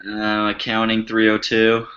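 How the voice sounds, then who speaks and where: A man's voice: lowish voice, completely flat delivery, measured pace, pushed and bright, slight background noise. A young man joining a student group video call, wry and tired as he complains. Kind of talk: casual video call meeting. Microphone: computer mic on a video call. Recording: dull lo-fi call audio.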